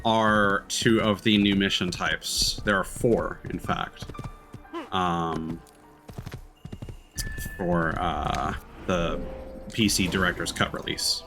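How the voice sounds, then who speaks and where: A man's voice: low voice, steady tone, slow, long pauses. A man giving casual let's-play commentary as a game streamer, thinking aloud in a hesitant way. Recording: game music behind the voice. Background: video game music.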